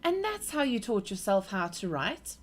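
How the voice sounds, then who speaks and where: A woman voicing an eager, anxious young girl in a high voice. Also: very animated, normal speed.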